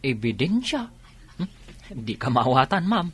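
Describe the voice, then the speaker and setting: A man playing a loyal, concerned employee in an Ilocano audio drama, with a husky voice. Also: medium pitch, very animated, unhurried, a bit bright, exaggerated voice. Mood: indignant, doubtful. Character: loyal, concerned male employee reporting to his mistress.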